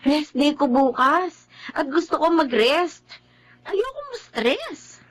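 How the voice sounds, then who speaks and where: An actress playing a vain, rude young office woman with a sharp tongue and a Filipino accent, haughty and dismissive in a TV drama. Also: sits high, big pitch swings, takes it slowly, full character voice. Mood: curt, dismissive.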